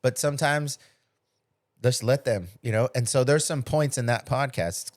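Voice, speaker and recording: A middle-aged man chats as a podcast host in a medium-pitched voice. He talks informally, recounting stories and slipping into quoted dialogue, with some swearing. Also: normal speed, no room sound, clean studio sound. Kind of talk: casual chat, storytelling.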